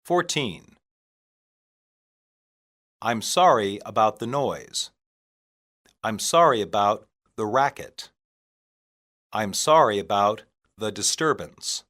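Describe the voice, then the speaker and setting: Slow, deliberate man reading lesson phrases. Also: fairly low pitch, expressive, a bit bright. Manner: deliberate, separated phrases for learners. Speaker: English teacher reading practice phrases. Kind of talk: scripted language-lesson reading.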